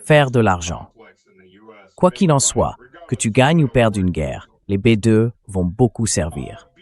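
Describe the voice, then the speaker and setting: A man's synthetic voice reading a translated interview as a military commentator, in a steady, explanatory delivery. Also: on the low side, takes it slowly.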